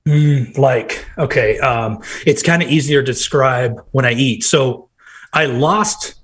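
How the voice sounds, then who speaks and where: A man in his thirties from Nebraska with a nasal, hoarse voice, chatting casually as a podcast guest and telling rambling stories. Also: mid-range voice, takes it slowly, fairly bright tone. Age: 30s. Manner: rambling, quotes himself in bursts. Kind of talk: casual chat.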